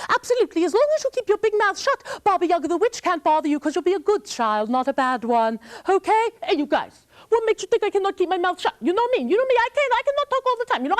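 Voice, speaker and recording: A woman voicing a chatty, overconfident young boy hero who talks fast and eagerly. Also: very high-pitched, pitched above the performer's own voice.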